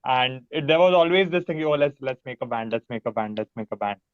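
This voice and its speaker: A man with an Indian accent, a musician chatting as a podcast guest in a halting, informal way. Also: mid-range voice, expressive, smooth, no room sound. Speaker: bass player, podcast guest. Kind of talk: casual chat. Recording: dry, dull lo-fi sound.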